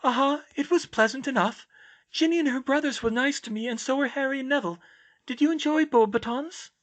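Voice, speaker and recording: A serene, eccentric storybook girl in her teens, put on by a man. The pitch floats very high, and the delivery is sweet and earnestly serious. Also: brisk. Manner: serene, very serious about odd claims.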